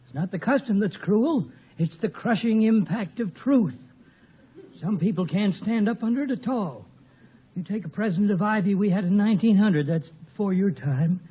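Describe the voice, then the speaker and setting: A man's voice: sits high, animated, normal speed, husky. An actor playing a blunt, folksy, cheerful professor in his seventies in a 1950 radio comedy. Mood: blunt, wry. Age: 70s. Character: seventy-year-old outspoken, cheerful, tobacco-chewing male professor. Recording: muffled old radio recording.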